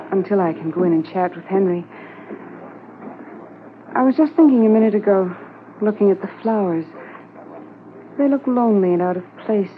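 A woman's voice: medium pitch, close to an everyday voice. Wistful wife in tinny 1950s radio drama, woman acting. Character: wistful, anxious, affectionate Mountie's wife. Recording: muffled noisy 1950s radio transcription.

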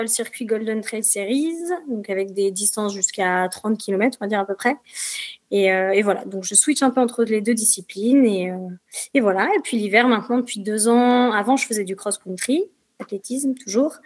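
A woman's voice: mid-range voice, no room sound. A woman in her twenties from the Paris region, talking casually as a guest athlete, with frequent fillers and restarts. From Paris region, France. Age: 20s. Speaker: trail running athlete, guest. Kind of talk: casual podcast interview.

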